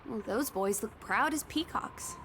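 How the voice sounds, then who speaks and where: A woman's voice: a little high, lively intonation, measured pace, fairly bright tone, slight background noise. An actress playing a sociable, cheeky young woman of the 1920s, theatrical and teasing, in a period drama video game. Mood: playful teasing. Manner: playful, teasing, old-fashioned slang.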